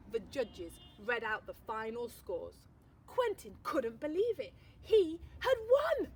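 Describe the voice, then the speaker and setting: A woman teacher reading a picture book aloud, expressive storytelling with dramatic pauses. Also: high-pitched, slight background noise. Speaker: primary school teacher.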